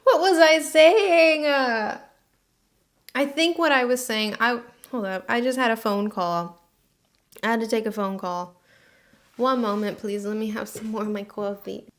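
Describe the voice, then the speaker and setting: A woman's voice: on the high side, unhurried. A woman in her twenties with an American accent, a freelance designer talking candidly to camera in a casual vlog, with slangy asides. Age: 20s.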